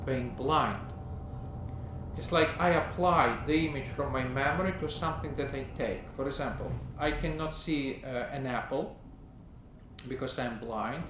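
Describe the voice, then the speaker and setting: Slow, halting man preaching in a roomy church. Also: medium pitch. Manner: slow, halting, with many pauses and fillers; repeats words for emphasis. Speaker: priest. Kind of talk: teaching sermon. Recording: roomy, dull, noisy recording.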